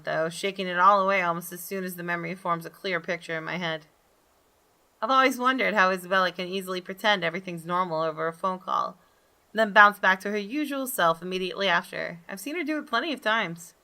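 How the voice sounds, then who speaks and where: A woman's voice: on the low side, pushed and bright. A woman reading a visual novel aloud as dramatic first-person narration, now and then stumbling and rereading a phrase.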